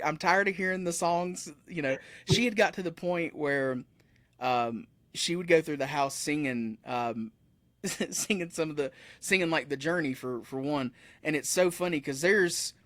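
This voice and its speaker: A man's voice: a little high, fairly bright tone, no echo. A man in his thirties, a musician guest telling stories in a casual, rambling way with frequent self-corrections. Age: 30s. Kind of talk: casual interview chat. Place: room with metal posters behind him.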